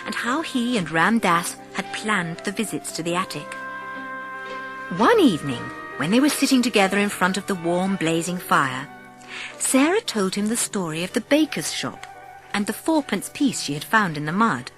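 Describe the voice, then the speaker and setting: A woman's voice: mid-range voice, sing-song, normal speed. A woman narrating a children's audiobook as dramatic, scripted storytelling. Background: music.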